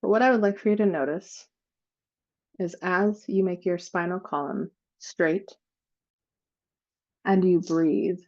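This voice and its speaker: Female meditation guide. Lowish, dark and soft-toned, unhurried and soothing, leaves long gaps. Slightly dull recording. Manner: slow, soothing, with long pauses.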